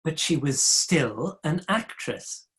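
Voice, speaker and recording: A man acting an earnest, defiant young Victorian gentleman in a dramatic play reading. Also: a little high, expressive, takes it slowly.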